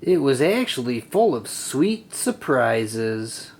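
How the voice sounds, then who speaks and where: A storybook narrator reading aloud for children in an expressive, deliberate way. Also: mid-range voice.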